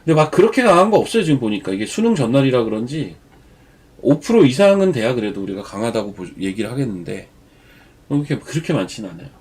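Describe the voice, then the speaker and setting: A man's voice: mid-range voice, big pitch swings, fast, a little mellow, breathy edge. A man with a cold giving chatty, rambling stock market commentary as the show's regular commentator. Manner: chatty, digresses into personal stories. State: has a cold. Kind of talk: casual market commentary on a live stream.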